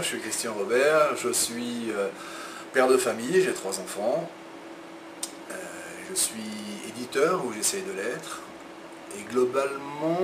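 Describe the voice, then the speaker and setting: Fifty-ish guy from france talking about his publishing work, lots of euhs, lowish voice, sounds far off in a roomy office. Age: 50s. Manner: hesitant, many fillers and restarts. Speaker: book publisher. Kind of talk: interview answers. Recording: roomy, far from the mic, loud ambient noise.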